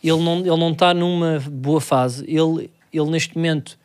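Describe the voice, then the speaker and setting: A man's voice: a little high, fairly even intonation, moderate pace, a little mellow, clear, resonant tone. A Portuguese man co-hosting a comic football chat, speaking in a mock-formal, teasing way and pushing in to be heard. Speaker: podcast co-host.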